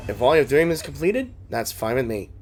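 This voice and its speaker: Animated man commentating on a game. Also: medium pitch, brisk, slight background noise. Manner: playful, reactive, thinking aloud. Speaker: gaming streamer. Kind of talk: casual game playthrough commentary. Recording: game music behind the voice.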